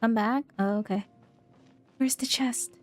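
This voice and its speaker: A woman's voice: a little high, steady tone, a little slow, faint music behind. A woman giving casual, reactive game commentary in short murmured phrases to herself, at times reading text aloud. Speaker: game streamer.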